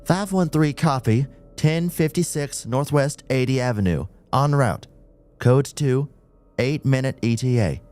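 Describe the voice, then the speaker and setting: A man voicing a young female police officer in an audiobook story, with a brash, animated, slangy delivery. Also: medium pitch, unhurried, pitched above the performer's own voice, natural voice. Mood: businesslike.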